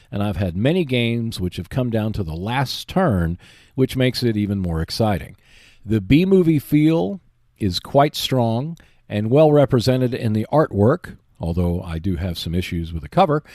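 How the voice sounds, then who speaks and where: A man hosting a board game podcast, delivering a prepared monologue in a measured, clearly enunciated presenter style. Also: on the low side, sing-song, darkish tone, no room sound, studio quality. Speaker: podcast host.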